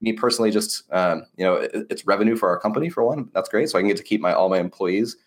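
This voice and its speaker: Man, low register, rapid delivery; earnest, conversational interviewee explaining his business. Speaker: marketing agency owner being interviewed. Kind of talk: casual interview talk.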